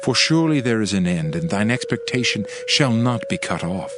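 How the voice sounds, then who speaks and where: A man's voice: on the low side, sing-song, moderate pace. A man giving a dramatized Bible reading in a solemn, emphatic, oratorical manner. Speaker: scripture reader. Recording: music behind the voice. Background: music.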